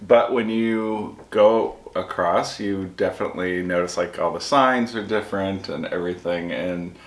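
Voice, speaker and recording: Deep-voiced easygoing man, echoey room. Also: moderate pace. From Detroit area, United States. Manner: easygoing, agreeable, with frequent "you know". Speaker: food review channel co-host. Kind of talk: casual chat while tasting snacks.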